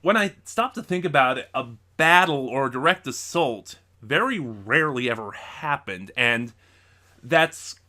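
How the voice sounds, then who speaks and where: A man giving a casual, unscripted ranking review as a video reviewer, thinking aloud in short, halting bursts. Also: medium pitch, bright, forceful tone. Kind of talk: casual review monologue ranking audio drama stories.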